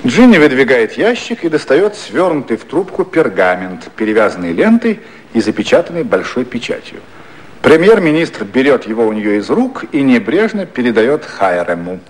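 An actor narrating a satirical 1950s radio play with measured, precise, scripted storytelling. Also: male voice, mid-range voice, sing-song, close to an everyday voice, poor audio. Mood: composed. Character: composed male narrator of a political satire.

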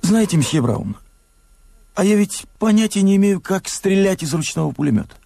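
An actor in a radio drama playing a bitter, self-mocking poet and would-be rebel, speaking in a reflective, confiding way. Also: male voice, high-pitched, animated, normal speed, gravelly, dark tone, full character voice. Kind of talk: Soviet radio drama acting.

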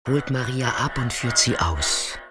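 A man performing scripted literary narration in a deliberate, dramatic way, sometimes dropping to a hushed near-whisper. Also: medium pitch, animated, over music.

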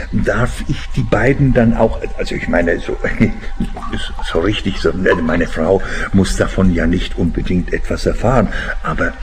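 An actor playing an eccentric, shifty married client in a radio play, rambling and hesitant with a pedantic streak. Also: male voice, mid-range voice, darkish tone. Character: eccentric, chatty married businessman client, shifty and self-amused. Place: radio drama studio.

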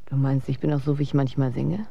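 A woman's voice: rumbling low, little pitch movement, fast, gravelly, a little hiss or hum. An actress playing a weary, sardonic, despairing young woman in a radio drama, with blunt, dry retorts. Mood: dry, defiant. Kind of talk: German radio drama acting. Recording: dull lo-fi sound, faint music.

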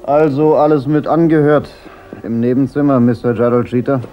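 An actor playing an unflappable, wisecracking private detective in a German radio crime drama, dry and laconic. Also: male voice, medium pitch, fairly even intonation, normal speed, highs cut off, faint music behind, natural voice. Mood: probing, blunt. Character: wry, unflappable hard-boiled male private detective. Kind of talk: German radio play acting.

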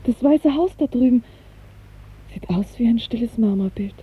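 An actress playing a young, lovesick, dreamy and melancholy maiden in a radio drama, hushed and often whispering, with a wistful poetic delivery. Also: female voice, fairly high pitch, lively intonation, normal speed, breathy edge. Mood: dreamy, uneasy. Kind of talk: 1950s German radio drama acting. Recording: muffled narrow-band 1950s radio recording.

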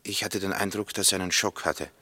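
An actor playing a male neighbour giving sober, measured testimony in a German radio play from the 1960s. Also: deep voice, pushed and bright, slightly rough, dry recording, exaggerated voice. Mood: grave, factual.

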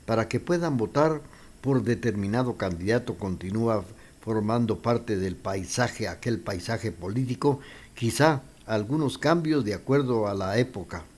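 A man with a Guatemalan accent hosting a radio show, talking between songs in a relaxed, conversational way with thinking pauses. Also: lowish voice. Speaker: radio host.

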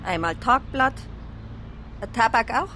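A woman's voice: on the high side, expressive, unhurried, bright, forceful tone, slight background noise. A woman playing a cheeky, nosy newsstand seller in a radio drama, teasing her customers in a Bavarian accent.

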